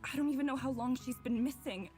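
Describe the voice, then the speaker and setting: A woman's voice: sits high, fast, a little mellow, soft background music, close to an everyday voice. Actress as an anguished young woman narrating a vision. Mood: longing, grieving. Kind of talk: dramatic first-person visual novel narration.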